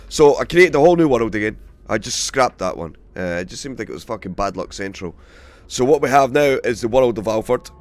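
A man with a cold, hoarse and gravelly, casually commentating a game he plays and swearing freely. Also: mid-range voice, expressive, fairly quick. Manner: swears freely, rambling and chatty. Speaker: let's play host.

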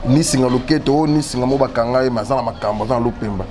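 A man's voice: medium pitch, rapid-fire. A man from Congo being interviewed on a studio set, giving rambling, repetitive answers. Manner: rambling, repetitive, mixing languages. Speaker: interview guest, self-described magician. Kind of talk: casual interview. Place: small studio with a branded backdrop. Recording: noisy studio recording.

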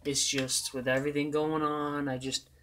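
A young man with a hoarse voice he is losing, rambling in a tired, casual way as he talks over a racing game. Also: a little high, level tone, normal speed, fairly bright tone. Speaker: gaming channel host.

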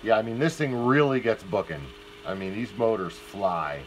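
A man's voice: on the low side, very animated, normal speed, a little hiss or hum, low-quality recording. A man giving a casual, plain-spoken product demonstration indoors, speaking informally from off camera.

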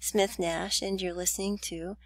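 A woman teaching essay writing over slides, talking in a relaxed, chatty way with small laughs and ums. Her voice sits at a middle pitch. The recording is dry and close, with no room sound. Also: normal speed, no echo. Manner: chatty, with light laughs and fillers. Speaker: writing instructor. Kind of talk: narrated slide lecture.

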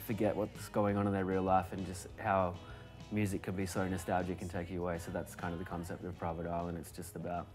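A young adult man, chatting casually as a musician guest in a bar booth, relaxed and rambling with fillers. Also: deep voice, quick pace, hardly any pauses, soft background music. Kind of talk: casual interview chat.

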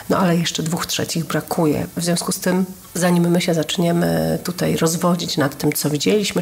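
A woman's voice: fairly low pitch, gravelly. A woman hosting a culture podcast, talking earnestly with frequent hesitations. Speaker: podcast host. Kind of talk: casual conversation about culture.

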